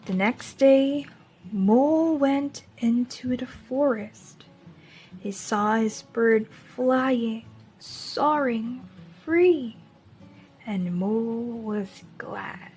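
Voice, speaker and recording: A woman reading a children's storybook aloud as a teacher, in a deliberate storytelling manner. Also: fairly high pitch, darkish tone, soft background music, low-quality recording.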